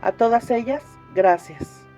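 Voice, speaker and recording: A woman's voice: fairly high pitch, a little slow, dark tone, soft background music, close to an everyday voice. A woman playing an earnest commentator from a fictional republic in a radio drama, speaking solemnly and emphatically in scripted interview style.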